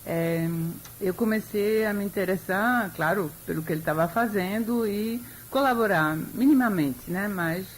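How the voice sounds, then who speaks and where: An older woman historian, being interviewed for a radio program. She speaks in a measured, thoughtful way, pausing often to find her words. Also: mid-range voice, a little hiss or hum. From Europe. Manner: measured, thoughtful, with frequent hesitations.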